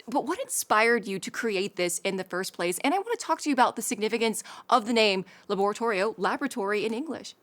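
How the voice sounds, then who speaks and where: A woman's voice: mid-range voice, lively intonation, fast, few pauses, fairly bright tone. A woman hosting a TV interview in an upbeat, enthusiastic presenting style. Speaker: TV program host, interviewer.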